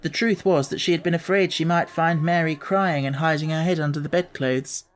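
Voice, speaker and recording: Low-voiced woman narrating a children's audiobook. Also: steady tone, fairly quick. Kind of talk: expressive scripted children's storytelling.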